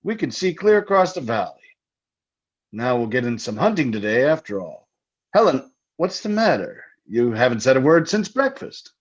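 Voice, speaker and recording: An actor playing a jovial but menacing hunting husband in old-time radio drama style, breezy and taunting. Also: male voice, mid-range voice, sing-song, normal speed, lo-fi sound. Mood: jovial, sly. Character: jovially menacing husband, keen hunter, teasing with murder talk.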